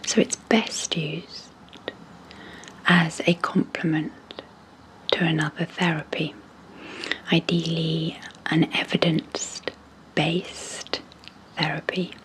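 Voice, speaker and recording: Female ASMR psychologist host: breathy near-whisper, low-pitched, gentle and measured. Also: little pitch movement, poor audio. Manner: slow, gentle, measured with long pauses. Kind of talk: soft-spoken ASMR hypnosis introduction.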